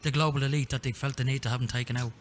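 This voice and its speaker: A man with an Irish accent hosting a radio interview in an informal, conversational way, with hesitant restarts, over a noisy line with low rumble. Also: fairly even intonation, racing. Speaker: radio show host.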